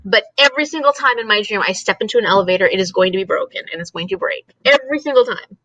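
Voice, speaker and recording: A woman's voice: a little high, lively intonation, racing, bright, forceful tone, no room sound, rough recording. A giggly woman co-hosting a casual livestream chat, repeating words for emphasis. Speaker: co-host of a live reading sprint stream.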